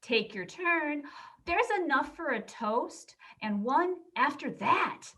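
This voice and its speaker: Cheerful, theatrical Victorian played by a woman, bright voice with wide swings, for a Christmas story. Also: high voice, expressive, slow pace, full character voice.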